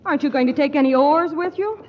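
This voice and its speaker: A woman's voice: high voice, fast, close to an everyday voice. An actress playing a dry, teasing young woman in a 1940s radio comedy, on a dull old broadcast recording with faint hiss.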